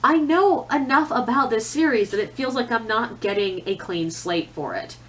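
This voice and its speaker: A woman's voice: a little high, expressive, normal speed, a bit bright, slight background noise. A woman giving a casual, chatty monologue as a book reviewer, opinionated and conversational, with self-deprecating asides.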